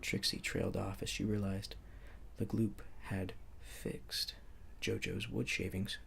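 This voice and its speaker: A man reading a bedtime story in a hushed, soothing ASMR narration. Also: low voice, little pitch movement, slow pace, gravelly, slight background noise. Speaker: storybook reader.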